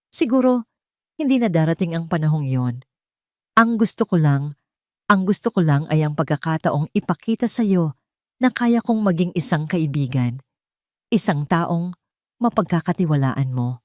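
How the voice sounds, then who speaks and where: A woman with a Filipino accent voicing a humbled, remorseful young male billionaire in an audio story, halting and earnest. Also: deep voice, lively intonation, no echo, studio quality. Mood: pleading, wistful.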